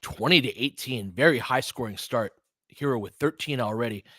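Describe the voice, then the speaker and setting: Guy doing casual hoops play by play on a stream, bright punchy voice, short clipped phrases, really dry audio. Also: mid-range voice, normal speed, dry recording. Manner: clipped phrases, chatty asides to listeners. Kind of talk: casual basketball play-by-play commentary with analysis.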